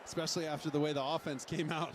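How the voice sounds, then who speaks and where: A man's voice: a little high, little pitch movement, very fast. Male football analyst over noisy broadcast audio. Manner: explanatory, uses coaching jargon. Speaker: colour analyst.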